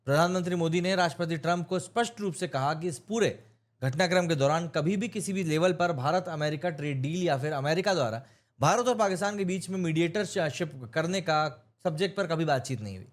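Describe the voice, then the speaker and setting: A man's voice: a little high, brisk. An Indian man giving an opinionated news explainer, speaking emphatically and mixing in English terms. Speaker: news commentator.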